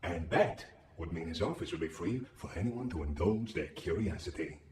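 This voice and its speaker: An actor voicing a sly, streetwise, wisecracking anomaly in a video game, cocky and sardonic with folksy slang. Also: male voice, deep voice, lively intonation, moderate pace, crowd noise. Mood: scheming. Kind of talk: video game character acting. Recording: roomy echo, slightly distant.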